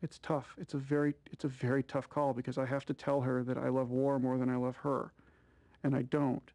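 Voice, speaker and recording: A man's voice: mid-range voice, completely flat delivery, fairly quick, dark tone, no room sound. A man talking candidly as a soldier in a documentary interview.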